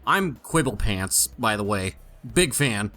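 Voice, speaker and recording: A man's voice: on the low side, sing-song, slow, pushed and bright, faint music behind, exaggerated voice. A man voicing a gushing, nerdy, chubby pony stallion fan in an audio play, talkative and opinionated. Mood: friendly. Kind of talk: audio play character acting.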